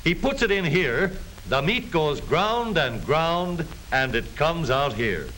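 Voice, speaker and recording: Male American 1930s newsreel narrator, jaunty and animated, on an old hissy film soundtrack. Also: on the high side, takes it slowly, poor audio. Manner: jaunty, folksy showmanship.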